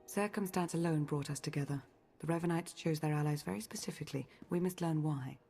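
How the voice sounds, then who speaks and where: Casting: calculating intelligence operative for a game; deep, gravelly-edged, controlled and formal. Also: female voice, fairly quick, mellow, soft background music. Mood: cool, measured. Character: cool, calculating female intelligence agent. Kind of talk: video game voice acting.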